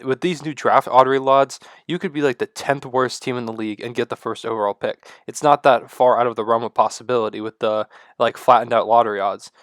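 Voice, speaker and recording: A man with a stuffy, congested voice and low energy, rambling excitedly to the camera at home. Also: no echo, studio quality. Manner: loose, rambling, excited fan talk with many fillers. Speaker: sports vlogger. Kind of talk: casual rambling talk to camera.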